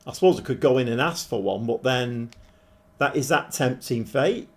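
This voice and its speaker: Croaky man streaming a game. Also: medium pitch, moderate pace. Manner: chatty, thinking aloud, many restarts. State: hoarse, croaky voice. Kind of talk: casual live-stream commentary. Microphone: desk microphone.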